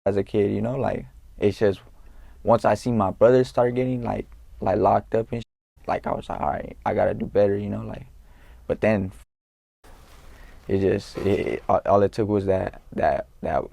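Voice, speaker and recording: Gravelly laid-back young man. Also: lowish voice, dark tone, no room sound. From Norwalk, California. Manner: laid-back street slang, halting with many fillers. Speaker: guest, rapper. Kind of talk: casual interview talk.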